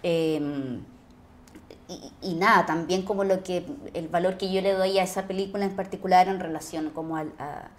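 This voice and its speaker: A woman's voice: on the low side, fairly even intonation, moderate pace. A Chilean woman, an actress being interviewed, reflecting conversationally and often searching for her words.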